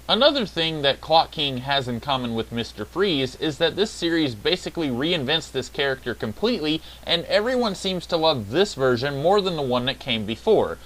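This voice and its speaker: Male reviewer, mid-pitched and very lively in intonation, conversational scripted narration with opinions. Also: low-quality recording. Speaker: video reviewer, host of a series of episode reviews.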